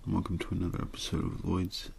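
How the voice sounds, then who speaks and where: A man softly reading a story in ASMR style, unhurried and untheatrical, with a husky, gravelly voice. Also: sits low, completely flat delivery. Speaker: host and reader of an audio ASMR show.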